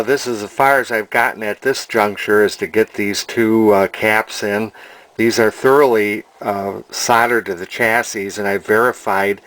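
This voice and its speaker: A man giving an informal hands-on repair demonstration in a halting way, thinking aloud as he explains. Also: fairly low pitch, fairly bright tone, low-quality recording. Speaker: hobbyist radio repairer, presenter. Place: indoor workshop at a workbench.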